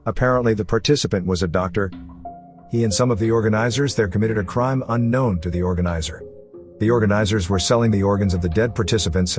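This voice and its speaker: A man's voice: lowish voice, darkish tone. A man reading scripted narration for a story recap video, as its narrator.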